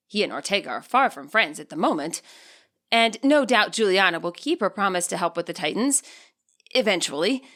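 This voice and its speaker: A woman reading scripted fiction aloud as an expressive audiobook narrator. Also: on the high side.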